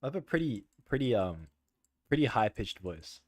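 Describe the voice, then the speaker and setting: A young man streaming and chatting loosely with viewers, rambling with lots of "like" and "bro" and frequent laughter, in a soft voice. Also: medium pitch, big pitch swings, moderate pace, dry recording. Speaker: livestreamer. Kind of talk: casual chat with stream viewers.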